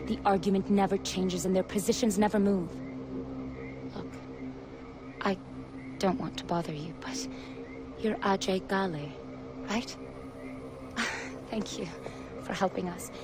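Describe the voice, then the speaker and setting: An actress playing a weary, respectful female rebel in a video game, sincere and soft-spoken. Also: mid-range voice, a little slow, pauses often, noisy background, close to an everyday voice. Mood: shy, grateful. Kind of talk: video game cutscene acting. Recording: music behind the voice.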